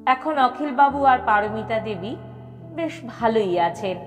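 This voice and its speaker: A woman reads a scripted story at a very unhurried pace. Her delivery is expressive, with dramatic pauses. Long reverb surrounds the voice and music plays behind it. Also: on the high side. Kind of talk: scripted audio story narration. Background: background music.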